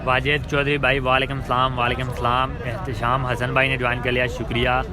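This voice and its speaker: A man's voice: mid-range voice, flat delivery, brisk. A man calling a cricket match outdoors, announcing shots and greeting viewers between balls. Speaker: livestream cricket commentator. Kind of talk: live commentary and viewer greetings. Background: crowd noise.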